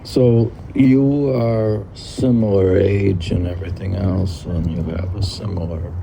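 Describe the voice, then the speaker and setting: A man's voice: deep voice, steady tone, measured pace, dark tone. A man in his sixties hosting a podcast, reading aloud and adding meandering, musing commentary as if talking to himself. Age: 60s. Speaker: podcast host. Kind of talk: reading a classic text aloud with rambling personal commentary. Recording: clearly noisy recording.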